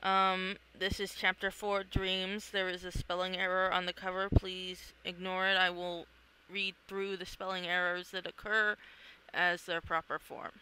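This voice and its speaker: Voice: mid-range voice, flat delivery, measured pace, bright, forceful tone, smooth, poor audio. An author reading their own comic aloud, with casual asides between scripted narration.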